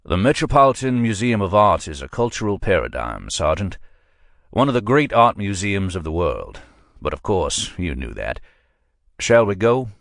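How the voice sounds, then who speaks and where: A man voicing a soft-spoken, formal federal agent in an audiobook, close to his own reading voice. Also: deep voice, very animated, moderate pace, gravelly, dry recording, heavily acted. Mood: courteous.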